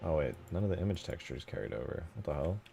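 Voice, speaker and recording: A man's voice: extremely low pitch, expressive, fast talker, vocal fry, mellow, soft background music. A man chatting casually and in slangy, sweary terms on a livestream while he works on game mods. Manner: laid-back, slangy, profane. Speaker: streamer building video game mods.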